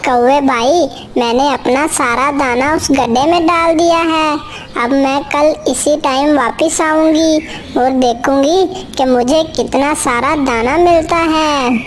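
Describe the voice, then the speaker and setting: Woman as a high-pitched little female bird. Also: takes it slowly. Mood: trusting. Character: small female bird, sweet and simple. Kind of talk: children's cartoon character dialogue.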